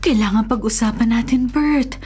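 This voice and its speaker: An actress plays a concerned mother in a Filipino radio drama. Her fairly high voice is earnest and sorrowful, pressing gently but insistently, over soft music. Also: normal speed, lightly acted.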